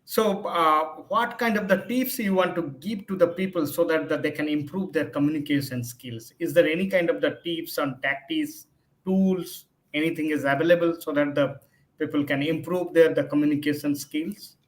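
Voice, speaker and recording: A man's voice: on the high side, normal speed. A man hosting an interview, agreeing readily and asking his questions in slightly hesitant phrasing. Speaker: interview host.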